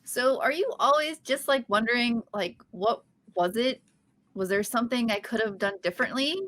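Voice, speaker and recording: A woman's voice: fairly high pitch, normal speed, a bit bright, no echo, natural voice. A woman reading the part of a quirky, upbeat young woman nursing a breakup in a sitcom table read, chatty and a little goofy. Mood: earnest. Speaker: podcast host reading a part.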